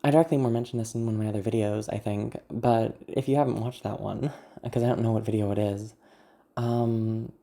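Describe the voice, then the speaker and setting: An ASMR content creator with a naturally gravelly, growly voice, talking to viewers in a candid, self-deprecating, rambling way. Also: medium pitch, fairly even intonation, brisk, warm tone. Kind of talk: casual personal talk to viewers.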